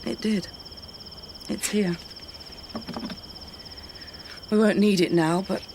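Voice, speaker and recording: Middle-aged British woman played by an actress, candid and weary, fry in the voice, naturalistic radio acting, noisy night exterior. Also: mid-range voice, steady tone, unhurried, natural voice. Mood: firm, weary. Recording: night insects and outdoor ambience noise.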